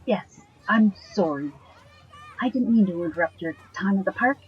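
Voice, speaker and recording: A woman acting a curious, apologetic and gently teasing girlfriend in an audio drama, with a husky, breathy voice in a dull recording. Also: mid-range voice, expressive, moderate pace, a little hiss or hum, natural voice.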